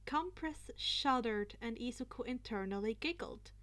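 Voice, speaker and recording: Bright-voiced woman reading a story unhurriedly. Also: on the high side, animated, unhurried. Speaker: narrator reading fan fiction aloud. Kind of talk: expressive amateur story reading.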